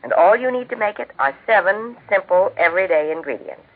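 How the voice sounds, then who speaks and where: An American woman with a genteel, measured, instructive manner, speaking scripted homemaking talk as host of a 1940s radio cooking show. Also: medium pitch, expressive. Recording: tinny band-limited 1940s radio recording.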